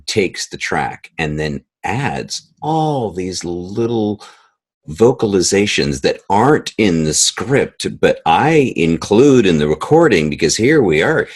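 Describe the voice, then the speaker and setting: Low-voiced man explaining his creative process. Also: big pitch swings, slow pace, breathy edge, dry recording, studio quality. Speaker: composer and sound editor of an audio drama. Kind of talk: interview, conversational explaining.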